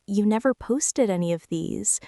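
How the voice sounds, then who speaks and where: A woman playing a young former bully in an intimate ASMR roleplay, speaking in a hushed, hesitant way that often slips toward a whisper. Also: mid-range voice, moderate pace, dry recording, clean studio sound, natural voice. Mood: wistful, tender. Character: young female former bully, stunned, teasing, softening into tenderness.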